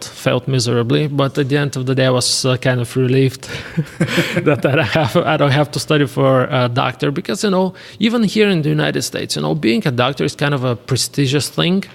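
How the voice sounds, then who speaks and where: A man with a Bulgarian accent chatting casually as an interview guest, speaking in halting, hesitant phrases. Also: fairly high pitch, creaky. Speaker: podcast guest, real estate investor. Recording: reverberant, hall-like room.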